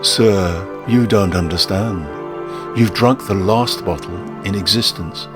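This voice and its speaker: A man playing a grave, courteous barman in a radio story, speaking in formal, measured phrases, pleading and ominous. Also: low-pitched, music in the background. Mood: firm, pleading. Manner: formal, measured, addresses customer as sir. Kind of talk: dramatic radio short-story acting.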